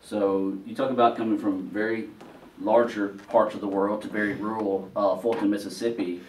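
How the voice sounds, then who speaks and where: A man hosts a casual talk show, his voice on the low side as he asks loose, folksy questions. He is heard from a distance in an echoey room. A faint hiss sits under him. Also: flat delivery, moderate pace. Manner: folksy, filler-heavy questions. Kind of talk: casual interview hosting.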